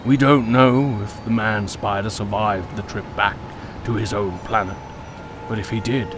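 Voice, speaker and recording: A man plays a solemn storyteller in a comic dub, recounting legend to children. His voice is low and slow, measured and reverent, with music clearly behind. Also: fairly even intonation, lightly acted.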